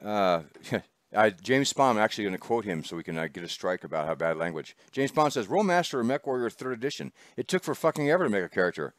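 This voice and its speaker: A man's voice: lowish voice, animated, fast, dry recording, clean studio sound. A man hosting a casual chat show, rambling and laughing as he talks. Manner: chatty, rambling, laughs often. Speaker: host. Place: small studio.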